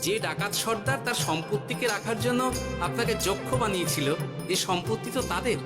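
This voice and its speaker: An actor playing a clever, generous cartoon village wit who talks in an assured, explanatory and courteous way. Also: male voice, high-pitched, moderate pace, natural voice. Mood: earnest, generous. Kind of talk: cartoon voice acting. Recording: loud music behind the voice.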